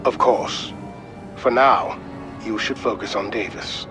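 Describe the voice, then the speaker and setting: A man playing a calm, helpful mission control officer, giving measured, matter-of-fact briefings in a video game. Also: fairly low pitch, loud music behind the voice. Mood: reassuring.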